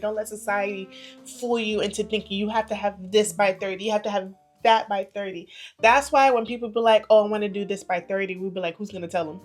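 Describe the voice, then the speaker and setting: A woman in her thirties chatting casually to camera as a vlogger, playful and confiding, her loudness and pace rising and falling a lot. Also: mid-range voice. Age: 30s.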